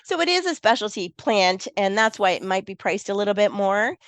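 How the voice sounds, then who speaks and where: A woman with a froggy, hoarse voice from a cold, hosting a casual gardening podcast and thinking aloud as she talks. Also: mid-range voice, expressive, brisk, dry recording. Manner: chatty, thinks aloud with restarts. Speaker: podcast host, garden designer.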